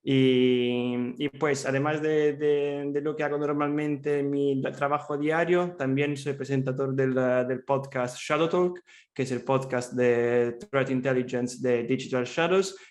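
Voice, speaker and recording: A young man from Rome speaks with a clear Italian accent in a voice of middling pitch. He gives a friendly, loosely paced conference presentation in a language that is not his first. He often pauses on fillers and starts phrases over. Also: fairly even intonation. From Italy, Rome. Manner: friendly and chatty, with many fillers and repeated restarts. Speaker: cyber threat intelligence analyst presenting at a summit.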